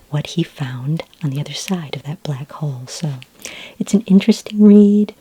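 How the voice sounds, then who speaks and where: Female ASMR performer as a friendly librarian: low-pitched, breathy near-whisper, gentle and patient, conversational delivery. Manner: gentle, unhurried, helpful, conversational. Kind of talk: soft-spoken ASMR roleplay. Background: computer keyboard typing.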